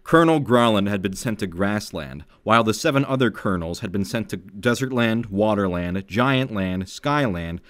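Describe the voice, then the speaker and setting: A man's voice: fairly low pitch, expressive, moderate pace, hardly any pauses, no room sound. A man chatting casually as a podcast host and reading aloud, explaining things in a hedging, self-deprecating way. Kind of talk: casual chat and reading old fan fiction aloud.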